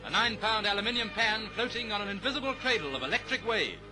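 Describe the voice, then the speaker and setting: A man's voice: high-pitched, expressive, fast talker. A British man reading a scripted 1930s newsreel in a formal, declamatory manner, on a vintage soundtrack. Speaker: newsreel narrator. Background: music.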